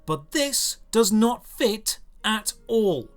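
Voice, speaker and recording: A man's voice: high-pitched, expressive, slow pace, faint music behind. A man narrating a scripted video game review in a dry, sarcastic, exasperated manner.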